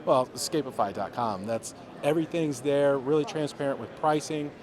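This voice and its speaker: A man pitching his software at a trade show, explaining its features in a salesy way. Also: moderate pace. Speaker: software vendor guest. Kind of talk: product pitch. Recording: crowd chatter behind.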